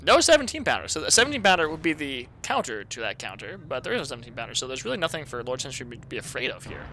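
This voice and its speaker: A man casually commentating a strategy game replay, excitable and laughing often, talking to his chat, his voice a bit hoarse. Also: medium pitch, very fast, slight background noise. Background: video game gunfire and artillery.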